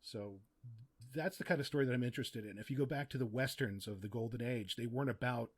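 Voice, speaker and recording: A man's voice: mid-range voice, fast talker, breathy edge. A middle-aged man speaking as a podcast guest, explaining his craft in a casual, reflective and articulate way. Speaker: podcast guest, audio drama writer and producer. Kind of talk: casual, reflective interview talk.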